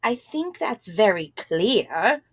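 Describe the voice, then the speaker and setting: A woman voicing a brash male taxi driver in a storybook, speaking emphatically and loudly. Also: medium pitch, sing-song, slow pace, husky, full character voice. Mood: emphatic. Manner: emphatic, bellowing. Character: brash, confusing three-wheeler driver, male.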